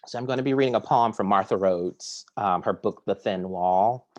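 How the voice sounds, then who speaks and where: A man reading poems aloud at a virtual poetry reading from his home, measured and deliberate, introducing each piece with hesitant fillers. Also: lowish voice, a little mellow. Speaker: poet reading at a virtual series. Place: home kitchen or similar domestic room. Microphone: video call.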